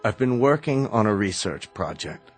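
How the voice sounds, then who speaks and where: An actor in a radio drama playing a stern, sardonic medical researcher who speaks in a measured, commanding way. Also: male voice, fairly low pitch, expressive, soft background music, close to an everyday voice. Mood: grave, explanatory.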